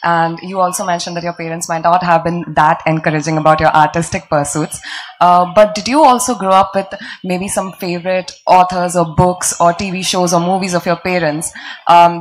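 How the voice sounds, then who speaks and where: A woman's voice: fairly low pitch, fairly even intonation, fairly quick, a little hiss or hum, poor audio. A woman in the audience asking a polite, careful question.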